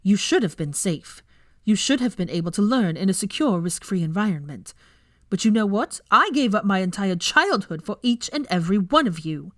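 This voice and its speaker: A woman's voice: mid-range voice, lively intonation, moderate pace, dry recording, studio quality, lightly acted. A woman voicing a furious young female professor, scolding a class sharply and indignantly in a storybook reading. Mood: angry, wounded. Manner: sharp, indignant, scathing lecturing. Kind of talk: dramatic fan fiction character reading.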